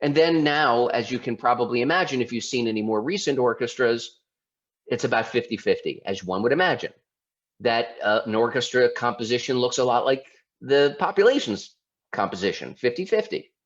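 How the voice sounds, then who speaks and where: A man's voice: mid-range voice, expressive, normal speed. A man from Baltimore giving an informal presentation as a lawyer, talking conversationally with restarts and rhetorical questions. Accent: Baltimore, mostly suppressed. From Baltimore, United States. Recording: slightly dull lo-fi audio.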